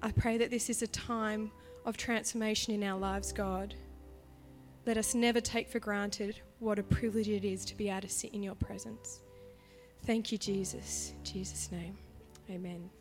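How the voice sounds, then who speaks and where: A woman preaches from the front of a church, her voice partly worn out and strained from being sick. She talks in a chatty, lively way and leans hard into the words she wants to stress. Soft music plays faintly behind her. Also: mid-range voice, moderate pace. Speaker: worship leader giving a sermon. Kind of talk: sermon with prayer.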